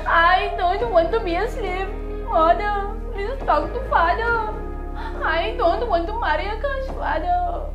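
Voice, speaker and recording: A very high, shrill voice with an Indian accent, a defiant young daughter. She pleads and scolds melodramatically. The room is echoey, with music clearly behind. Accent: put-on Indian.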